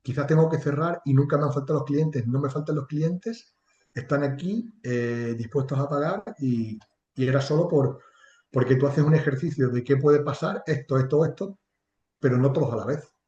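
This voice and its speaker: A man with a Spanish accent from Spain talks casually and candidly as an interview guest. His voice is dark and relaxed at a medium pitch. The sound is roomy and slightly dull. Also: normal speed. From Extremadura, Spain. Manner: colloquial and candid, with mild swearing. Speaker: interview guest, chatbot marketing entrepreneur.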